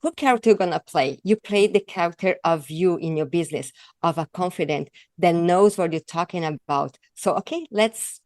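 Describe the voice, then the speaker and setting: Brazilian woman w thick accent, lowish voice, dry sound, keeps restarting sentences. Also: moderate pace, few pauses, a little mellow, no echo. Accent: Brazilian Portuguese. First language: Portuguese. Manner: earnest, searching for words, restarts phrases. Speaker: guest, on-camera confidence coach. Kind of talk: interview conversation.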